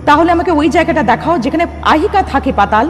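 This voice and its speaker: A woman's voice: sits high, animated, fast. A woman playing a determined young heroine in a dramatic cartoon dub, earnest and questioning. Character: determined young heroine seeking to save a village. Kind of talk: dramatic dubbed cartoon dialogue. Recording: long hall reverb, faint music behind.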